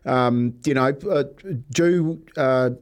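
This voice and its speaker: A man's voice: medium pitch, slow pace, clean tone. A man giving casual banter and analysis as a sports radio pundit.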